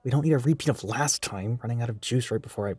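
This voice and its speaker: A man's voice: medium pitch, fast talker, a little mellow, breathy edge, no echo, soft background music, close to an everyday voice. A man acting out a catty, mischievous retail worker in an audio roleplay, with a sassy, teasing, theatrical delivery.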